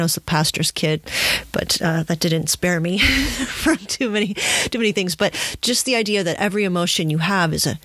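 A woman with a slightly low voice chats as an interview host, feeling her way through each thought. The sound is close and dry, with very little room to it. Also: dry recording. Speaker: podcast host. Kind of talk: conversational interview talk.

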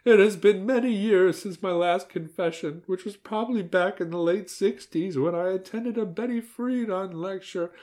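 Man puts on an elderly grandma, way up in falsetto, fervent prayer delivery. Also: moderate pace, hardly any pauses, a little mellow, natural voice. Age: older.

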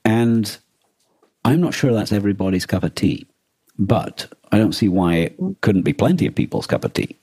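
A man co-hosting a podcast in casual discussion, with a husky voice and a hesitant way of restarting his phrases mid-thought. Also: lowish voice, animated, moderate pace, mellow, no room sound. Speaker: podcast co-host.

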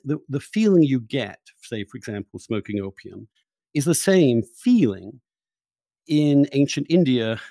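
Man interviewing, halting and searching, dry close sound. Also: medium pitch, vocal fry, dark tone, no echo. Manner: searching, halting phrasing with repeated words. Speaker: interviewer, podcast host. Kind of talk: reflective long-form interview conversation.

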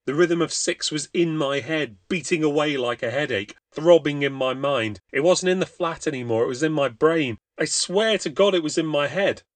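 A man's voice: fairly high pitch, moderate pace, few pauses, no room sound, voice pushed up, natural voice. A man voicing a panicked young man haunted by a ghost, breathless and pleading. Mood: frantic.

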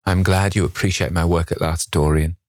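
A man voicing a sensitive male painter, earnest and emotional, halting when upset, in a novel reading. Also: low voice, fairly even intonation, breathy edge, no echo, clean studio sound. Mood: cold.

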